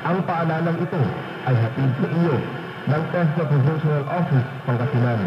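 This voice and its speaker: A man's voice: on the high side, normal speed. A man reading a scripted public service announcement as a radio announcer. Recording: echoey, very noisy lo-fi AM radio.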